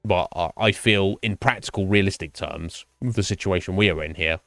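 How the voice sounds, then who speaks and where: A man streaming live and chatting with viewers, thinking his answers through in a halting, thoughtful way. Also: low-pitched, fairly bright tone, no echo, studio quality. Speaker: Twitch streamer.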